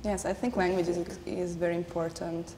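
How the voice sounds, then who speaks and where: A woman's voice: fairly low pitch, fairly quick. A woman whose native language is Hungarian, speaking earnestly and steadily as a panel guest. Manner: earnest, steady, with frequent fillers. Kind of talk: panel discussion. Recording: long hall reverb, faint noise.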